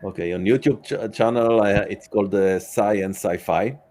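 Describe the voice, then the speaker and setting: A man with a deep voice talks as a guest in a casual interview. His phrasing is hesitant and halting, and he builds his answers piece by piece. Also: a little mellow, slight background noise. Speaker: podcast guest, science fiction author.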